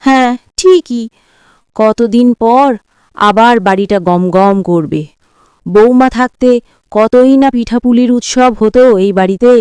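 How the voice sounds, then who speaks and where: A fond, fussy old village granny, acted by a woman in a cartoon. Her voice is fairly high, smooth and dry. She chats and chides in colloquial country speech. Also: unhurried, pauses often, darkish tone, dry recording, close to an everyday voice. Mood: nostalgic, pleased. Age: older. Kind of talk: Bengali children's cartoon voice acting.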